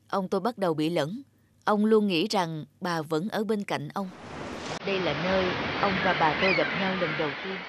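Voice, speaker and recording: A man playing a protective, devoted grown grandson in an animated drama, speaking earnestly. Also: high voice, very noisy, close to an everyday voice. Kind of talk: cartoon voice acting.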